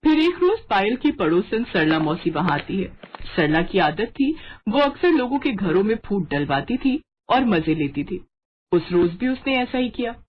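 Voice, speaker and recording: A woman's voice: mid-range voice, big pitch swings, normal speed, slight background noise. A woman narrator reading a scripted family story on dull audio.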